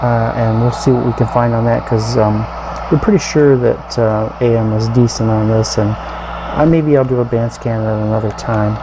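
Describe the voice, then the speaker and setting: Gravelly man giving a casual gadget demo. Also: mid-range voice, fairly even intonation, background noise. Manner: halting, informal, explaining step by step. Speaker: radio reviewer and video host. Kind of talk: casual hands-on product demonstration.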